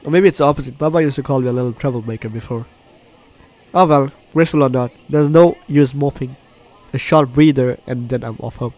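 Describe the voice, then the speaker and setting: A man's voice: medium pitch, brisk, a little mellow. A man hosting a game playthrough, reading the story aloud with reactions, often stumbling and correcting his words. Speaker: gaming video host. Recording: game music behind the voice. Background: game music.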